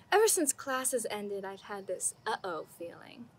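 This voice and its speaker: A woman's voice: mid-range voice, measured pace, slight background noise. A young woman giving a self-mocking, comic graduation speech, recorded on a camera mic outdoors in a home garden. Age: young adult. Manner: deadpan self-mocking humor. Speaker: senior class speaker.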